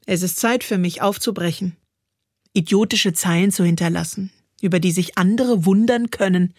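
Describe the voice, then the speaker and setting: A woman reciting poetry dramatically, with expressive, deliberate phrasing. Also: on the low side, no room sound. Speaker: poetry reader. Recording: dry studio recording over music. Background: music.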